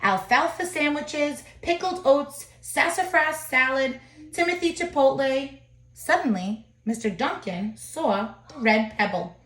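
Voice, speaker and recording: High-voiced woman reading a storybook aloud, echoey. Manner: expressive, pausing to comment to listeners. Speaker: storybook reader. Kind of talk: children's picture book read-aloud with asides. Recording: echoey room.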